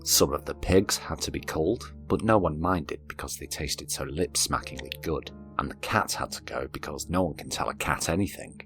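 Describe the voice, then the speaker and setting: Male narrator, extremely low voice, measured fairy-tale reading with music underneath. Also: fairly bright tone. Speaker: storyteller, narrator. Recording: narration over background music.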